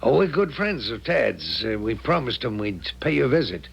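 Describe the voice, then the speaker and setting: Bossy crook, late thirties, 1970s radio drama. Husky, streetwise, very animated delivery. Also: male voice, mid-range voice, normal speed, heavily acted. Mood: earnest, ingratiating. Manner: streetwise, colloquial, purposeful. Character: bossy, scheming ex-convict in his late thirties.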